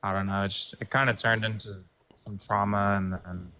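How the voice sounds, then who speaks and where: A man in his twenties calling in, speaking haltingly and softly with lots of fillers. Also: on the low side, little pitch movement. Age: 20s. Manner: halting, soft-spoken, many fillers. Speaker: caller. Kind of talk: casual call-in talk.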